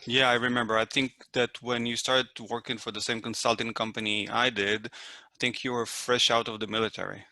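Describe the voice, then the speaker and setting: Bright-voiced Israeli-born podcast host. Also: male voice, mid-range voice, level tone, a little fast, no echo. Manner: friendly, hesitant with many fillers. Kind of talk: casual interview.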